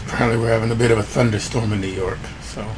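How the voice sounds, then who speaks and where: A man with a rough voice commenting casually on his gameplay, rambling and thinking aloud. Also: lowish voice, fairly even intonation, very fast, background noise. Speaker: let's play host.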